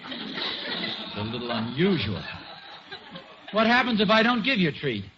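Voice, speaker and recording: A man's voice: high-pitched, very animated, unhurried, bright, forceful tone, exaggerated voice. An actor playing a mild, playful, boyish suburban father, with hesitant banter in a 1940s radio comedy. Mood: bemused. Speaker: sitcom lead actor. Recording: dull, noisy 1940s radio recording.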